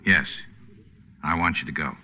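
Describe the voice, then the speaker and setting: An actor playing a tough, laconic boat skipper for hire in a 1940s radio drama, terse and deadpan. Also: male voice, rumbling low, moderate pace, long reverb tail, slight background noise. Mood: terse, cool. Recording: dull 1940s radio recording.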